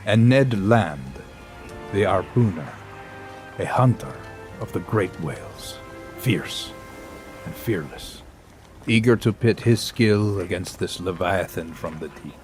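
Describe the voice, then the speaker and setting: A grave scientist narrator. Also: male voice, sits low, lively intonation, faint music behind. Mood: solemn. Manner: measured, dramatic pauses. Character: grave male scientist narrating a sea voyage. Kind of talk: scripted audio drama narration.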